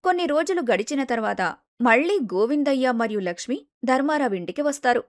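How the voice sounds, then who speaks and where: A woman's voice: high voice, animated, brisk, no room sound, clean studio sound. A woman reading scripted narration for a moral story as its storyteller.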